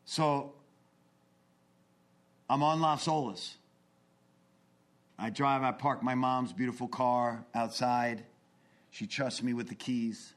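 A man in his forties giving an earnest, confessional talk about his recovery, swinging between intense bursts and hushed asides. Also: mid-range voice, steady tone, slow, pauses often. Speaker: recovery speaker. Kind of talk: personal testimony talk.

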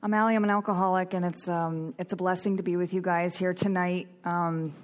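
A woman's voice: fairly low pitch, little pitch movement, a little fast. A woman speaking candidly at a recovery meeting into a microphone, rambling a little and using fillers. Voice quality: loud voice. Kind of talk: personal share at a meeting. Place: meeting room. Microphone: microphone at a lectern.

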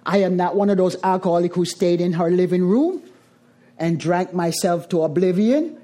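A middle-aged Jamaican woman addresses a recovery meeting. Her voice is dark and mellow, with soft edges. She speaks deliberately and with emphasis, circling back over phrases to give them weight. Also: on the low side. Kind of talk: twelve-step recovery talk to a group.

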